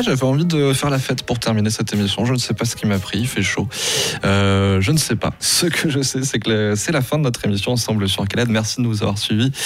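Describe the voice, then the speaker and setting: A man hosting a live local radio show, easygoing and lightly joking as he introduces a song and wraps up the programme. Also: mid-range voice. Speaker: radio host.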